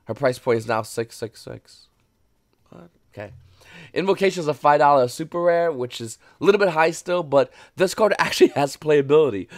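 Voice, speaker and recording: Guy talking quiet at home, dry sound, mid pitch, blunt and opinionated, drops a swear here and there. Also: no room sound. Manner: keeps voice lowered. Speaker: card market commentator. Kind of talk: casual price commentary. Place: home, early morning.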